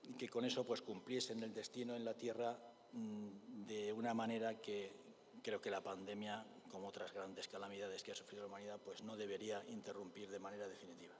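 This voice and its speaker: A man's voice: fairly low pitch, little pitch movement, slight background noise. Spanish man lecturing in a reverberant hall. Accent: Spain. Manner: measured, thoughtful, with frequent hesitation fillers. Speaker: clinician giving a conference talk. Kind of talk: forum lecture with storytelling.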